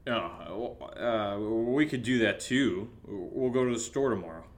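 A man plays a blasé, hungover housemate in an audio drama. His voice sits fairly low, and he rambles hesitantly with fatalistic, offhand humor. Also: expressive, unhurried. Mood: skeptical, casual. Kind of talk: naturalistic audio drama dialogue.

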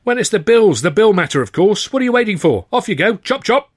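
A man's voice: on the high side, very animated, brisk, fairly bright tone, no echo, clean studio sound, voice pushed up. A man voicing a harassed, irritable middle-aged office manager in an audiobook, brusque and sarcastic. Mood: impatient. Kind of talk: audiobook character voice.

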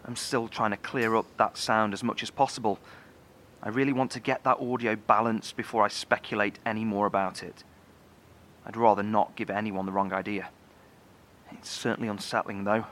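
An actor playing a thoughtful, curious male researcher in a horror audio drama, speaking in a measured, precise, brooding way. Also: lowish voice, level tone, a bit bright, a little hiss or hum, natural voice. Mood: grave fascination.

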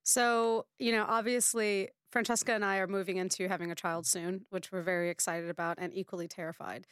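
American woman hosting, clear voice. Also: mid-range voice, little pitch movement, brisk, fairly bright tone, dry recording. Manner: friendly, asks questions, laughs easily. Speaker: podcast host. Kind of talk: casual chat.

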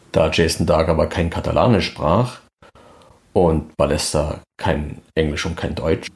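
Male podcast presenter, deep voice, scripted documentary-style narration. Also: a little mellow.

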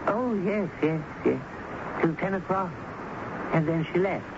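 A gossipy night manager, fussy and chatty in vintage radio drama style. His voice sits fairly high, with arch dramatic emphasis, on a dull, noisy broadcast. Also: unhurried. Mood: gossipy, scandalized. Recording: noisy, band-limited old radio broadcast.

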